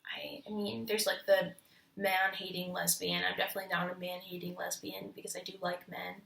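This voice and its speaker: A young woman talks casually and hesitantly, pausing often on fillers. Her voice is bright with a gravelly edge. She sounds roomy and a little far from the mic. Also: lowish voice, flat delivery. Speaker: interviewee. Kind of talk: casual talk.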